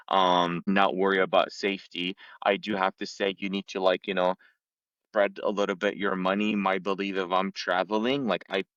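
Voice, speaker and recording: Young man from Lebanon, very low voice, easygoing podcast chat, dry slightly dull recording. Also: no echo. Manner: relaxed and reflective, many "like" fillers. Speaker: podcast guest sharing travel stories. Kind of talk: casual conversation.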